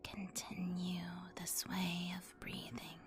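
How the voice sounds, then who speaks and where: A woman whispering a guided ASMR meditation in a soothing, deliberate, instructional manner. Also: fairly low pitch, monotone. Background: music.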